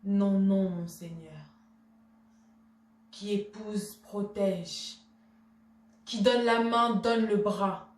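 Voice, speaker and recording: Actrice jouant une noble dame outragée, voix lointaine et résonnante. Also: female voice, mid-range voice, fairly even intonation, very slow, long pauses. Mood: cold, menacing. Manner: imperious, declamatory, formal address. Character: proud, outraged Renaissance noblewoman, an insulted duchess wife. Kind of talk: theatrical classical stage monologue. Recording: echoey room, distant microphone.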